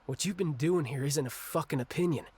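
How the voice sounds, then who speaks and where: A man acting an angry, ashamed younger brother in a video game cutscene, with a halting, bitter, confessional delivery. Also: on the high side, a little mellow, slightly rough. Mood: ashamed, earnest. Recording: music under the voice.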